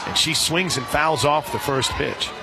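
Higher-pitched male radio play-by-play announcer, crowd behind. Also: a little slow, rough recording. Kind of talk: live softball commentary. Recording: crowd murmur behind broadcast voice. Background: outdoor stadium crowd.

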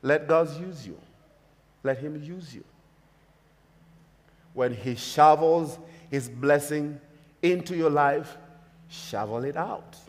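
Kenyan man, pastor, unhurried emphatic sermon, long hall reverb, mid pitch. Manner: emphatic, repetitive preaching cadence. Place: church stage with large hall.

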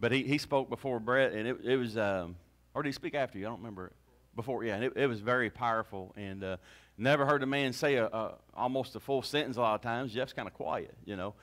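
A man's voice: medium pitch, quick pace, a bit bright. A man, a pastor exhorting his congregation with fervent restarts, on a handheld mic in a small church. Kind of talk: church service testimony lead-in. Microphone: handheld mic, far camera.

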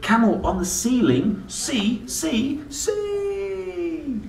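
Very animated man reading to children. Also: extremely high pitch, extremely slow, vocal fry. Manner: playful, sing-song, chatting to young listeners in questions and asides. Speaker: children's book reader and presenter. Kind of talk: animated read-aloud of a children's alphabet book. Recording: roomy, distant mic with faint background noise.

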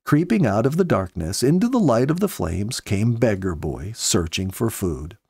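Guy reading a story out loud, earnest n measured, expressive, right up on a dry mic. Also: lowish voice, vocal fry, breathy edge, dry recording. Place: small indoor home study. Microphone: close studio microphone with pop filter.

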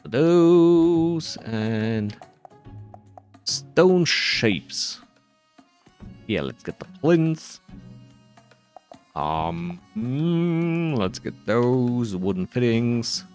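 A man gives casual commentary over a video game, thinking aloud with dry self-deprecation. His pitch swings around a lot while the pace stays unhurried. The voice is clean and smooth, with light music underneath. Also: big pitch swings, a little mellow. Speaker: gaming video creator.

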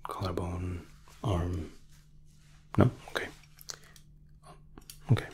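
A man in his thirties doing a soft ASMR roleplay, hushed, polite and hesitant, close to the microphone. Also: low-pitched, level tone, slow pace, a little hiss or hum. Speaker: ASMR roleplay performer, playing a royal sculptor.

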